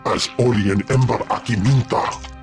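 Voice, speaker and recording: A man voicing a ponderous alien in a video game, guttural and deliberate, in an invented tongue. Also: mid-range voice, fairly even intonation, over music, natural voice. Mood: grave. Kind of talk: video game creature voice acting.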